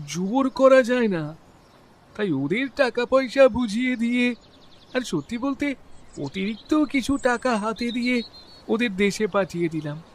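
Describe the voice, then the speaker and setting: Labour contractor, played by a man, very high voice, slow and earnest delivery, defensive, audio detective drama.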